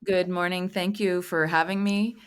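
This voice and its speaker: A woman's voice: fairly low pitch, fairly even intonation, moderate pace. A middle-aged woman from Canada, a guest chatting casually in an interview over a remote call, with many fillers and restarts. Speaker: guest consultant.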